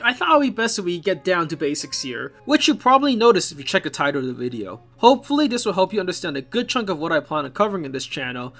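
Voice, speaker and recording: A man with a fairly high voice hosts a scripted video essay. He sounds opinionated, slipping in wry asides as he narrates. Music plays faintly underneath his voice. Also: fairly bright tone. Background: background music.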